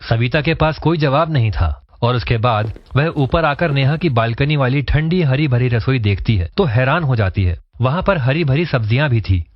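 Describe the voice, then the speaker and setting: Guy narrating a cartoon story, normal pitch, dry slightly muffled audio. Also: expressive, normal speed, hardly any pauses, no room sound.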